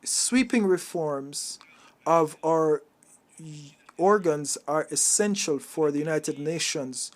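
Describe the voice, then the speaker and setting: Male conference interpreter, slightly high voice, formal register, halting and uneven live delivery of a political address. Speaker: simultaneous interpreter.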